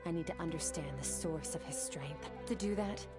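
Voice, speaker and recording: An actress plays an aloof female swordmaster from beyond the stars, her voice set lower than most women's. She speaks in a clipped, matter-of-fact way, with game music underneath. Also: moderate pace, lightly acted. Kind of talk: video game cutscene dialogue.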